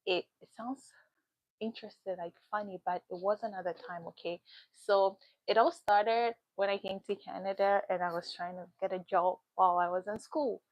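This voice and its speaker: A woman's voice: medium pitch, steady tone, moderate pace, no room sound. A young woman from Ghana, hosting her own live stream and casually chatting, reading out viewer comments as she goes. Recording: dull dry lo-fi sound.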